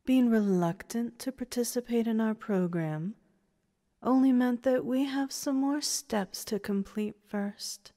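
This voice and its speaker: A woman's voice: a little high, unhurried, warm tone. A woman playing a domineering, condescending woman who commands and taunts in an audio story. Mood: threatening. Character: domineering, condescending woman running a training program. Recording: reverberant hall-like echo.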